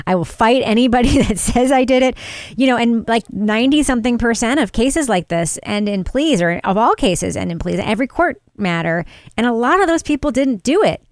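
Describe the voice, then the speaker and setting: Smooth-voiced woman podcast host. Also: a little high, no room sound, clean studio sound. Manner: animated, quick to agree, laughs often. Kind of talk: casual true-crime podcast chat.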